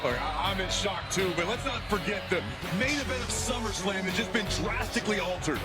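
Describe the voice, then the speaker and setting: Man as dramatic TV wrestling commentator, high male voice, sports game broadcast, loud backing music. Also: brisk, lightly acted. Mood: shocked, analytical.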